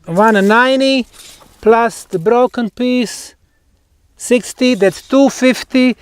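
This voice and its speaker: Slow-speaking man with a Bosnian accent. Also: extremely high pitch, clean tone. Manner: deliberate, emphatic short phrases with pauses. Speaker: researcher presenting a field investigation. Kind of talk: explanatory on-site presentation.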